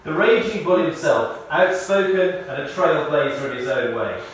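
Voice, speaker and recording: Male eulogist, measured and drily humorous storyteller, reading a tribute in a very reverberant church, off-mic with faint noise. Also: fairly high pitch, recorded from a distance. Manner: measured storytelling with dry humour. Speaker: eulogist at a memorial service. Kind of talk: prepared spoken tribute, read aloud. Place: speaking in a large church.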